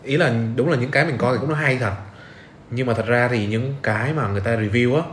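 A man speaks quickly as the host of a casual interview. His pitch is middling for a man and his phrasing is informal, full of little check-in questions. The room adds clear echo. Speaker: podcast host. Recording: roomy echo, faint background noise.

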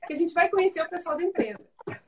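A woman's voice: extremely high pitch, animated, rapid-fire, a crowd in the background. A woman with a Brazilian Portuguese accent, chatting as an interview guest over a video call, full of tag questions and restarts. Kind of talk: casual conversation. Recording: voice distant from the mic.

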